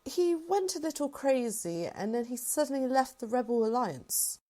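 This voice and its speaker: Assured female general played by a woman, fairly high voice with animated pitch, formal and reassuring, sci-fi roleplay. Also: moderate pace. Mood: composed, reassuring. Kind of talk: fan-made sci-fi roleplay drama.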